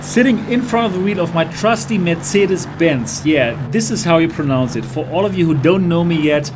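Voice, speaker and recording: A man's voice: on the high side, lively intonation, moderate pace, background noise. A man from Germany with a German accent, chatting through a gameplay test as a VR reviewer. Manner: chatty, explanatory, enthusiastic about cars. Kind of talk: casual gameplay commentary.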